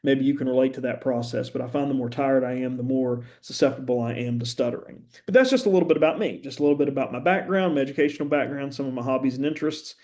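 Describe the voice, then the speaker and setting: A middle-aged man from West Virginia, a university professor, giving a conversational, earnest introductory lecture with frequent "uh" pauses and an occasional stammer. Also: mid-range voice, warm tone. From West Virginia, USA.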